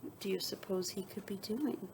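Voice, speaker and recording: A woman teacher reading a picture book aloud to young students, expressive, moving between emphatic reading and soft, wondering asides. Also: medium pitch, moderate pace, a little hiss or hum, rough recording. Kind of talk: children's read-aloud with asides and questions to students.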